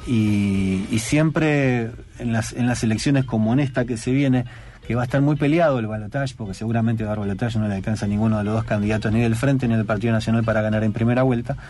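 A man who grew up in Uruguay, speaking with a Rioplatense accent as a radio guest, explaining in a measured way. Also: on the low side, fairly even intonation, warm tone. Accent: Rioplatense Spanish. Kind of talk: radio interview.

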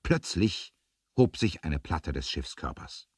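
A man narrating an adventure radio play as an expressive, suspenseful storyteller with a husky voice. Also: extremely low pitch, slow, no room sound, clean studio sound.

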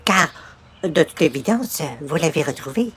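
A woman's voice: deep voice, expressive, normal speed, fairly bright tone, slight background noise. A woman playing a pious, prim and insistent neighbour in a radio comedy, speaking formally. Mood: sententious. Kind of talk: old-time radio comedy acting.

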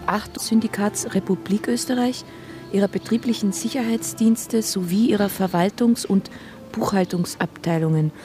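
A woman's voice: medium pitch, normal speed, over music, lightly acted. A woman playing a character who reads an official notice aloud in a formal way in a radio drama. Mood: formal, declaiming. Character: woman dutifully reading out a pompous border sign.

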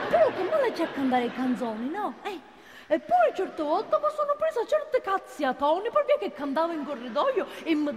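Chatty working-class woman, earnest and repetitive, animated, theatre reverb with faint noise. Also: high voice. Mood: sheepish, amused. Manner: rambling, repeats herself, seeks agreement. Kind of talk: comic theatrical monologue.

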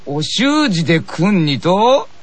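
A man's voice: high voice, sing-song, takes it slowly, heavy background noise. A man doing playful comedy banter on a radio show, with a theatrical, mock-polite manner.

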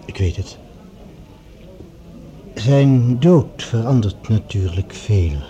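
A very dark, mellow-voiced professor in a radio play. He is smooth and calculating, choosing formal, oratorical phrases. His voice rings in a roomy space. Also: fairly low pitch, expressive, slow. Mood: polite condolence. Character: smooth, calculating male professor, grandly formal rival scientist. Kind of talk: radio drama acting.

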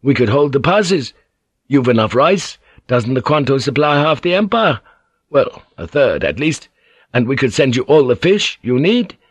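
A man's voice: medium pitch, sing-song, moderate pace, breathy edge, no room sound, voice pushed up. A man voicing a weathered one-eyed samurai soldier who speaks plainly and bluntly.

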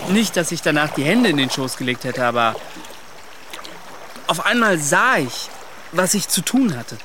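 Earnest young adventurer, high male voice. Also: very animated, moderate pace, noisy background. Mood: fervent, earnest. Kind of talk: radio drama acting.

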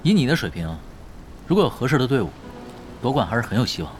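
An actor playing a shrewd, easygoing young pro gamer in an audio drama, teasing and measured. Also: male voice, medium pitch, sing-song, slight background noise, natural voice. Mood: calm, friendly. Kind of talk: Mandarin audio drama acting. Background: prominent background music.

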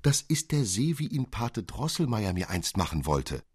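A man's voice: lowish voice, very animated, normal speed, husky, no room sound, studio quality, pitched above the performer's own voice. A man voicing a polite, curious young girl who is full of wonder, in a storybook reading. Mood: enthralled. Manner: eager, polite questioning.

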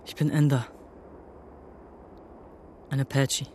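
A man's voice: mid-range voice, little pitch movement, takes it slowly, a little mellow, a little hiss or hum, close to an everyday voice. Man playing a solemn young adopted Apache warrior. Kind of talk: German radio drama acting. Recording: music and outdoor sounds behind.

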